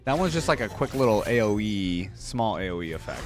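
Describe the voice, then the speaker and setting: Reaction host: young male, slightly low pitch, laid-back and appreciative casual commentary, soft music in the background. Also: sing-song, a little fast.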